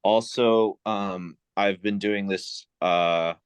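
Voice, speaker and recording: A man talking casually as a guest in an interview, unhurried and filling gaps with ums and uhs. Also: on the low side, steady tone, clean tone, dry recording. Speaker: composer and sound designer guest.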